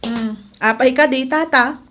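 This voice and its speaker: A woman's voice: high voice, a little slow. A woman with an Ilocano Filipino accent playing a gossiping, resentful young tell-tale in a radio drama. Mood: gossipy, accusing.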